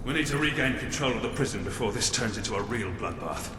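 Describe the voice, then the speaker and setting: An actor playing a grim, dutiful military commander in a video game, speaking in an earnest, formal, authoritative way. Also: male voice, medium pitch, animated, quick pace, music in the background, natural voice. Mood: grave, urgent. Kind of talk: video game cutscene acting.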